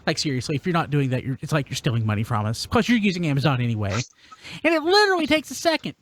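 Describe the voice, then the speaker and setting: A man's voice: a little high, huge pitch swings, very fast, a bit bright. A man hosting a podcast, chatting casually in a jokey, wry manner. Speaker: podcast host.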